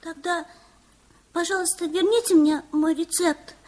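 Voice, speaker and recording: A woman's voice: very high-pitched, fairly even intonation, unhurried, darkish tone. A woman playing an earnest, curious little girl in a children's radio play. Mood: pleading. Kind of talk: Soviet radio play acting.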